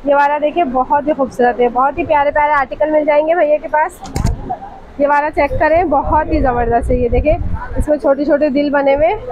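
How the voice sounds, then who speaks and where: A woman's voice: high voice, fairly even intonation, a little fast, highs cut off, crowd noise. A woman hosting a casual shopping vlog, enthusiastically praising and repeating what the seller says. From Pakistan. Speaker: vlog host. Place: indoor fabric shop. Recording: clear background shop noise.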